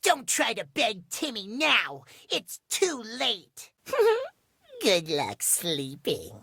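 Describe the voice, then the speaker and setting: A man's voice: high voice, huge pitch swings, drawn-out words, vocal fry, pushed and bright, slightly rough, no room sound, clean studio sound, heavily acted. A man voicing a manic, vengeful, self-adoring cutesy cat in a video game, speaking theatrically of himself in the third person. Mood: spiteful, menacing. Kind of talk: video game character acting.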